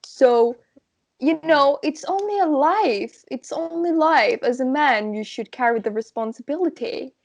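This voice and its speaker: A woman's voice: high voice, animated, a little slow. A woman playing a smug, wealthy male film star, attempting an English accent over a Spanish one. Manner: haughty, condescending.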